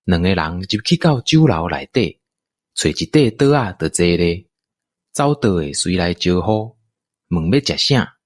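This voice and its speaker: Animated, low-voiced Taiwanese male storyteller. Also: a little slow, no echo, clean studio sound. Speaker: narrator. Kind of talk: scripted radio drama storytelling.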